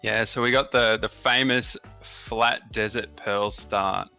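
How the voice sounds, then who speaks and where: A man's voice: lowish voice, fairly even intonation, takes it slowly, creaky, bright, forceful tone, clean tone, soft background music. A man with an Australian accent hosting a strategy game session, explaining settings and bonuses in detail with frequent fillers. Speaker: gaming channel host. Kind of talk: casual gameplay commentary.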